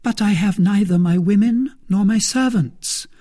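A man reading the part of a scheming, proud noblewoman in a high, whispery voice. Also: a little slow, dark tone. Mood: indignant. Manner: raised pitch, whispery cries. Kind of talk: audiobook character reading.

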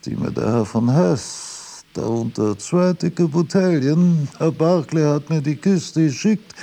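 An actor playing an aged philosopher who muses aloud in a radio drama, halting and drifting from thought to thought. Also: male voice, a little high, gravelly, dark tone, exaggerated voice. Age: older. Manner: halting, digressive, musing aloud. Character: aged philosopher Kant, rambling through notes and household trifles.

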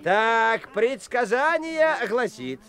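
An actor playing a street organ grinder who loudly announces acts and sells fortunes in a radio play. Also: male voice, very high voice, big pitch swings, takes it slowly, exaggerated voice. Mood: hawking. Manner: theatrical barker patter. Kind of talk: 1980s radio play acting. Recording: radio play with crowd behind.

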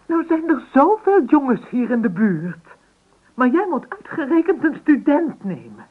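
A woman's voice: high-pitched, sing-song, measured pace. An actress playing a scornful, worried middle-aged mother who scolds and exclaims in a Dutch radio play. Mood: outraged, scolding. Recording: tinny old radio recording.

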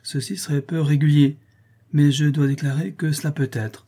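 A melodramatic old male porter acted out by a male reader, grandly dignified and easily scandalized. His storybook speeches are formal and exclamatory, in a husky, mellow voice. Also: fairly high pitch, level tone, normal speed, voice pushed up. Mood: stubborn, pondering.

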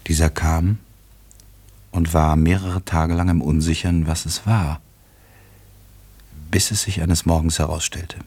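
A man with an extremely low, husky voice narrates a literary radio play. His storytelling is measured and dry, fully scripted. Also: steady tone, a little mellow.

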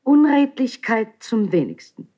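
A woman's voice: on the high side, big pitch swings, measured pace, warm tone, dull audio. An actress playing a dignified, guarded queen in a classical verse radio drama, with stately and restrained delivery. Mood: guarded, composed.

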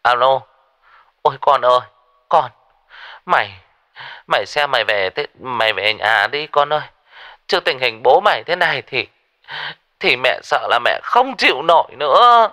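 A man's voice: medium pitch, animated, moderate pace, pauses often, pushed and bright, dry recording, studio quality, pitched above the performer's own voice. A man voicing a frightened old village wife who pleads and laments. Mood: anxious pleading.

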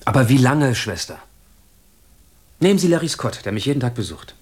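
An actor playing a wry, courteous gentleman who has lost his memory, in a German radio crime drama. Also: mid-range voice, big pitch swings, fairly quick, breathy edge. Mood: wistful, troubled. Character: flirtatious, wistful gentleman patient with lost memory.

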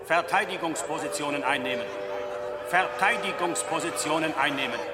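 A man's voice: on the high side, moderate pace, close to an everyday voice. A man playing a stern, impersonal alarm announcer over a base tannoy in a radio drama. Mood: stern, urgent. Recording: echoing distant tannoy amid loud noise.

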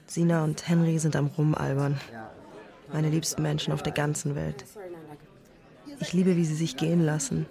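A woman's voice: deep voice, level tone, moderate pace, creaky, pauses often, crowd noise. A woman playing a conflicted podcaster who narrates her love story in the first person, intimate and introspective. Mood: introspective, uneasy. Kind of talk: scripted first-person narration, present tense.

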